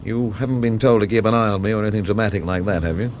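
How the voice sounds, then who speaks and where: An actor playing a weary, sardonic amateur investigator in a radio mystery, narrating and questioning in a dry, wry way. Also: male voice, on the low side, very fast, a little mellow, a little hiss or hum, close to an everyday voice. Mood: probing, sardonic. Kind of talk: radio mystery drama acting and noir narration.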